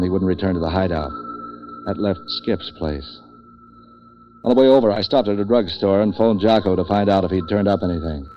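A hard-boiled man for hire out of an old radio noir, acted by a man. His voice sits very low and he talks in clipped, deadpan wisecracks, street-wise and tough. The recording is dull 1940s lo-fi. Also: lightly acted. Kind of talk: 1940s radio noir first-person narration and dialogue.

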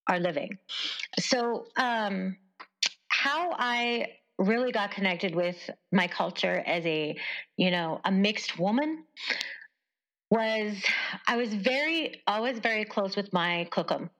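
A woman in her forties from Canada, a journalist guest speaking thoughtfully in an interview, with many pauses and fillers. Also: medium pitch, a bit bright, rough recording. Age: 40s. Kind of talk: conversational interview.

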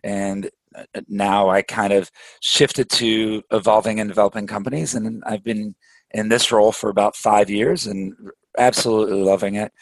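Man from Belgium, podcast guest executive, low voice, dry close sound, relaxed and explanatory. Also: moderate pace, no room sound, poor audio. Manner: relaxed, explanatory, with frequent filler words. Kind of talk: conversational interview answers.

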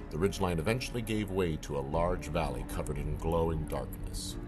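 A man playing the narrator of a suspense audio drama, reading scripted storytelling. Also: very deep voice. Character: grave male storyteller narrator of suspense tale.

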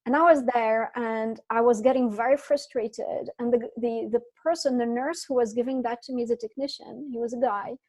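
Guest, woman from Syria, high voice, animated storyteller. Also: moderate pace, few pauses. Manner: animated storyteller, many restarts. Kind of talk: casual interview chat.

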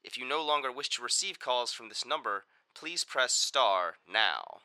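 Automated prison call announcer: impersonal, measured, crisp forceful brightness, close dry recording. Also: male voice, mid-range voice, animated, no room sound. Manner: formal, measured. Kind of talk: recorded phone menu announcement.

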